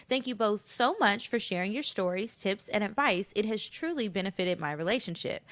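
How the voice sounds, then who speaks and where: A woman's voice: medium pitch, fairly bright tone, no echo. Candid woman podcaster, close dry sound. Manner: candid, slangy. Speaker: podcast co-host. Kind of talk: casual chat.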